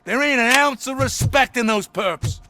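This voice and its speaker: Aggrieved, bright-voiced local. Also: male voice, very high-pitched, moderate pace, a little hiss or hum. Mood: indignant, grateful. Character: disgruntled, grateful male city local. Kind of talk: video game voice acting.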